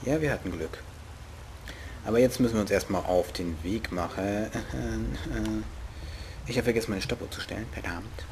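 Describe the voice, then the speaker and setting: A young man with a regional German dialect jokes and chats to himself while he plays. His voice sits fairly low, and faint game sound runs behind him. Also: moderate pace, background noise. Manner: joking, playful, talks to himself. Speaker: let's play host. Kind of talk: casual gameplay commentary.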